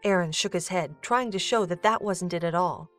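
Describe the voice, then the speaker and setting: Woman narrator reading an audio drama story, lowish voice, some music underneath. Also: normal speed.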